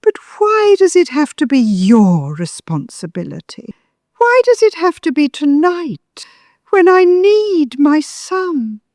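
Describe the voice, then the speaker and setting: Very high-pitched, wounded older mother. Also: big pitch swings, takes it slowly, darkish tone, no echo, clean studio sound, exaggerated voice. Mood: tearful, pleading. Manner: emotional, guilt-tripping, theatrical. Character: bitter, wounded, manipulative older mother-in-law. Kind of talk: audio drama acting.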